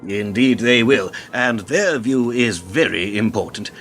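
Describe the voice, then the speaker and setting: A forceful, rather low-voiced actor as an older male fixer in a radio play. He is smug and cynical, lecturing with pompous, condescending wordiness. There is faint noise behind him. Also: expressive, a little slow.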